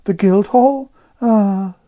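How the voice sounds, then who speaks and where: Storybook snob, voiced by a woman: vicar's wife, tart, catty, condescending, lively inflection. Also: extremely low pitch, moderate pace, exaggerated voice. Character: snobbish, catty vicar's wife and doting mother.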